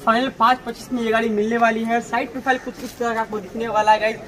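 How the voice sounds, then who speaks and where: High-voiced young man touring used cars. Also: level tone, racing, fairly bright tone, poor audio. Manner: repetitive filler-heavy presenting, constant "guys". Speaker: car showroom video host. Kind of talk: walkaround car tour, addressing viewers. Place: outdoor used car lot.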